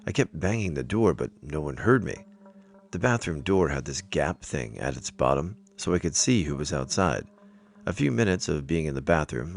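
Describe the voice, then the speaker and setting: A man's voice: extremely low pitch, gravelly. A man narrating first-person scary stories from a script as a storyteller. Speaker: narrator reading online stories aloud.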